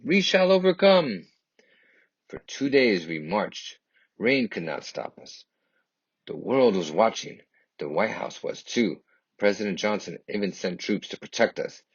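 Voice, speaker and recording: Deep husky man reading a story aloud. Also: animated, unhurried. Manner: emphatic, dramatic phrasing. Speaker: children's picture book reader. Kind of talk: expressive read-aloud storytelling. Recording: dull, lo-fi, echoey room.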